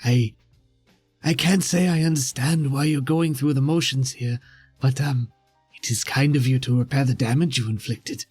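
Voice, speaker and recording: A man's voice: medium pitch, steady tone, darkish tone, breathy edge, no echo, soft background music, lightly acted. Man playing a solemn, weary defeated captive. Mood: grudging thanks. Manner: measured, solemn, formal. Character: weary, defeated male captive, formal and grateful.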